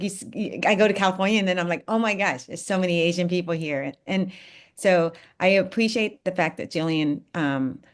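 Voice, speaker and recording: A woman from Virginia speaking earnestly and at length on a video call, rambling a little and apologizing for it. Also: fairly low pitch. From Virginia, United States. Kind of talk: casual interview over video call.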